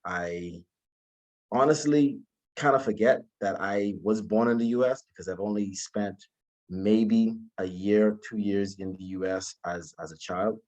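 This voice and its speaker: Young man, fairly low pitch, informal speech, polite, stop-and-start phrasing. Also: darkish tone, dull audio. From Bahamas. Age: 20s. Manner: halting, with repeated words. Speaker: guest speaker, alumnus. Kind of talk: informal motivational talk telling own story.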